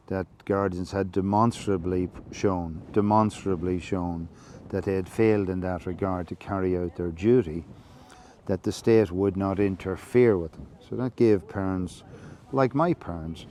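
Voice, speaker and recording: An Irish man giving a measured, reflective monologue, explaining things slowly, with many fillers and restarts. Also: sits low, warm tone, slight background noise. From Ireland. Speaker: podcast host.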